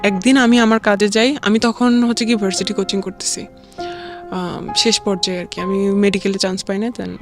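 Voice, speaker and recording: A woman's voice: mid-range voice, little pitch movement, moderate pace, faint music behind. A young woman with a Bangladeshi accent tells a personal story in a casual, conversational way, often acting out quoted dialogue. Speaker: guest telling a personal life story.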